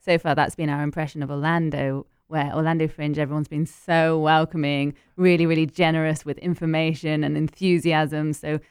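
A British woman, an actor guest, answering in a measured, articulate way. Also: low-pitched, level tone, clean tone, dry recording, clean studio sound. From United Kingdom. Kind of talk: interview answers.

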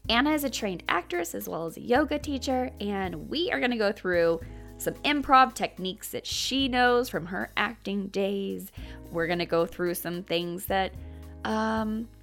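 Woman, podcast host, congested, frank and casual, lively pitch. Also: unhurried, vocal fry, soft background music. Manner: frank and candid, swears casually. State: congested from a cold. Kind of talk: casual podcast intro monologue.

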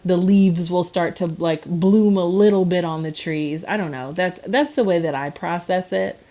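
A woman's voice: on the low side, moderate pace, mellow. A woman, chatting casually as a podcast co-host, giggly and full of fillers. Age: 30s. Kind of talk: casual chat.

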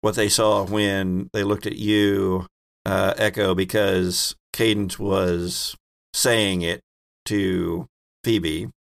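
A man narrating a tabletop game as game master, describing scenes in a deliberate, halting way. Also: low-pitched, level tone, gravelly.

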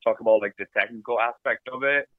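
A man from Brazil with a Brazilian Portuguese accent, a film director being interviewed, talking in a playful, animated way with halting restarts. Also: medium pitch, dry recording. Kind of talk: casual interview chat. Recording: dry tinny phone-like line.

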